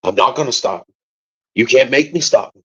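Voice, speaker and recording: A father answering interview questions, halting and rambling at times and rising to forceful defiance at others. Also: medium pitch, lively intonation, breathy edge, no echo, highs cut off. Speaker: father of a missing child, interviewee.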